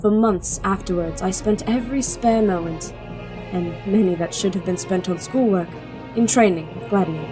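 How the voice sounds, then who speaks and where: Woman acting young princess, audio drama, imperious, fiercely protective, medium pitch, music behind. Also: moderate pace, natural voice. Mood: regretful, resolved. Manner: imperious, clipped commands.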